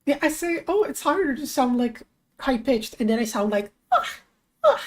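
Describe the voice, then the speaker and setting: A young woman streamer chatting casually as she works, thinking aloud, her voice lower than usual today, with bursts of high excitement. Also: moderate pace. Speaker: streamer and artist. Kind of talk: casual live-stream chat while working.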